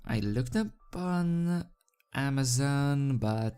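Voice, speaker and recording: A video host and fan fiction reader with a hesitant, halting, self-deprecating manner, speaking into a desk microphone. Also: mid-range voice, expressive, warm tone, clean tone. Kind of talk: casual rambling chat, then storybook narration. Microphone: desk microphone without pop filter. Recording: background music under the voice. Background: music.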